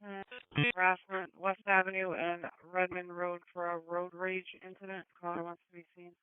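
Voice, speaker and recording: A man's voice: sits high, completely flat delivery, normal speed, smooth, no echo. A man working as an emergency dispatcher, talking over a two-way police radio. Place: radio transmission heard over a scanner. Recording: narrow tinny police scanner radio.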